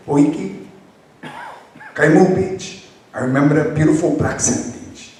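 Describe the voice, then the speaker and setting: In a heavily reverberant hall, a middle-aged man speaks some way from the mic. His voice sits a little high as he delivers an earnest campaign speech, halting often for effect. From Hawaii. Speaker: county council candidate. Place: high school hall public forum. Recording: echoey hall, distant mic, faint noise.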